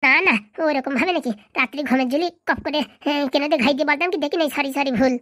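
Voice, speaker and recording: A woman's voice: high-pitched, rapid-fire, dry recording, studio quality, close to an everyday voice. A woman playing a feisty, gossipy, cheeky younger sister in a comedy cartoon.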